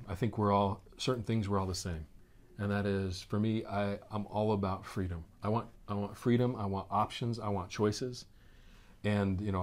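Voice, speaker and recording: Male, 40s, American, lowish voice, interview guest with an informal, emphatic conversational delivery. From United States. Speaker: interview guest, network marketing executive.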